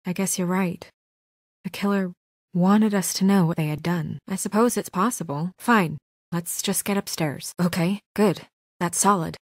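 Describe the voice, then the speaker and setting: A woman playing a composed but anxious, dutiful young office administrator in a naturalistic video game drama, speaking in a measured, guarded, earnest way. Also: lowish voice, no room sound, studio quality, close to an everyday voice. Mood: hesitant, conceding.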